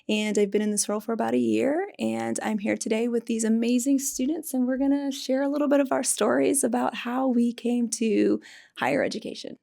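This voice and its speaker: A woman's voice: on the high side, steady tone, a little fast, creaky, warm tone. A woman working as a university recruiting director, hosting a student panel with friendly, encouraging questions. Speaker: director of recruiting, interview host.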